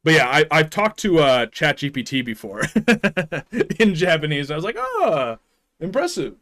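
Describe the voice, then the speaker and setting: Rambling young male streamer, slightly high. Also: wildly swooping pitch, normal speed, a bit bright. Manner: rambling, chuckles often, restarts and trails off. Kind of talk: casual chat with live viewers.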